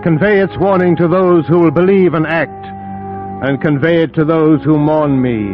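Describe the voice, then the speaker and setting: An actor playing a proper, earnest English solicitor, speaking formally in 1940s radio drama style. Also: male voice, on the high side, steady tone, lightly acted. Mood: grave. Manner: formal, earnest, deliberate phrasing. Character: proper, puzzled young English solicitor keeping a journal. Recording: muffled 1940s radio recording. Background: music.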